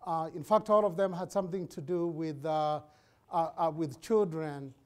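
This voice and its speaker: Kenyan man, middle-aged, high voice, animated lecturer, lapel mic in a hall. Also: normal speed. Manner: animated, restless, many fillers and restarts. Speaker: guest lecturer. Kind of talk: public lecture.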